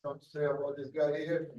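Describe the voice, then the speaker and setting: A man's voice: fairly high pitch, a little mellow, dull audio. A man leading a belt ceremony, giving short directions to the people there.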